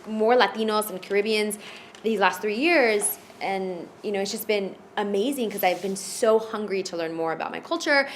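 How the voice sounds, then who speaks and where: A woman's voice: mid-range voice, normal speed, slight background noise. A woman from Florida, a podcast guest, telling her personal story in a casual, hesitant way with lots of fillers and restarts. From United States (Florida).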